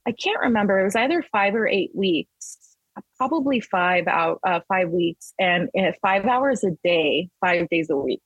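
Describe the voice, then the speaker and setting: A woman's voice: medium pitch, lively intonation, moderate pace, slightly rough, dry recording, lo-fi sound. A woman in her thirties, raised in Las Vegas, talking casually as an interview guest, wandering through her thoughts with restarts. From Texas, raised in Las Vegas. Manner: rambling, with many restarts and fillers. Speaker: guest artist.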